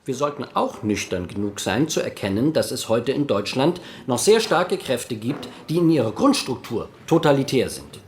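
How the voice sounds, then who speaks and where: A man's voice: medium pitch, big pitch swings, normal speed, few pauses, a little mellow, slight background noise. A man speaking soberly and cautiously in an archival parliamentary debate. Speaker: politician in debate.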